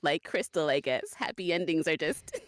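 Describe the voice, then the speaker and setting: A woman with a low voice and very lively intonation. She chats casually as a guest narrator, easygoing and good-humoured, with a dry, close sound. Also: normal speed, no echo. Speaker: voice actor and audiobook narrator guest. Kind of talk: casual chat.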